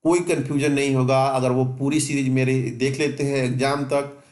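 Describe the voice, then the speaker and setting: A man's voice: medium pitch, fairly even intonation, normal speed, darkish tone. A man teaching exam preparation informally to students, repeating and stressing his points in a reassuring way. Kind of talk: informal exam-prep lesson, explaining to students. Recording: echoey, reverberant room.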